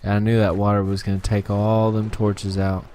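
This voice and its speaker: A man with a slightly hoarse throat giving easygoing, casual commentary on his own gameplay and talking himself through each task. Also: fairly low pitch, flat delivery, normal speed, warm tone, a little hiss or hum. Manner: easygoing, talks to himself while playing. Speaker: gaming channel host.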